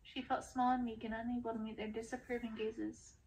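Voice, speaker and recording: A woman's voice: a little high, flat delivery, fairly quick, a little mellow. A woman reading a storybook aloud in an ASMR style, as its narrator. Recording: distant mic, faint background noise.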